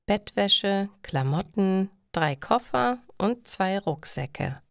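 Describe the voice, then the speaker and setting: A woman reading a scripted story slowly for language learners. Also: mid-range voice, lively intonation, gravelly, clear, resonant tone, no room sound, studio quality. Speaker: narrator reading stories for German learners.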